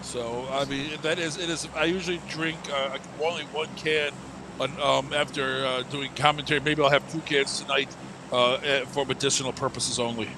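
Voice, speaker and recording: A man's voice: mid-range voice, moderate pace. A man commentating a live esports match, sick with a stuffed nose that leaves him sounding nasal, rambling and joking with lots of fillers. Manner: rambling and jokey, many fillers and restarts. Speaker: esports caster. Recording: steady game noise behind the voice.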